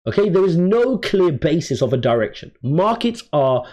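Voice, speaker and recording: A man with a croaky voice, giving brisk, emphatic crypto market commentary as host. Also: on the high side, very animated, darkish tone. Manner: brisk, emphatic chart walkthrough.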